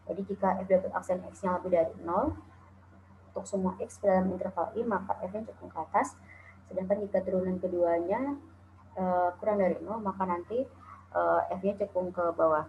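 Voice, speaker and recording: A woman from Indonesia talks through a calculus lecture in a lowish, gravelly voice, pausing on "eh" and "nah" as she works through each step. The sound is tinny and narrow, like a phone line, with a faint hiss behind it. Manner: steady explaining with frequent "eh" and "nah". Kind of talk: recorded slide lecture. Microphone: voice-over on slides.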